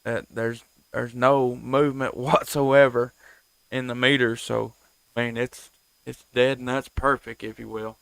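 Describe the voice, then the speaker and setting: A man chatting casually as a ham radio operator, plain-spoken with hesitant pauses. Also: mid-range voice, level tone, creaky, fairly bright tone, clean tone, no echo, rough recording. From Tennessee. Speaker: ham radio operator, live stream host.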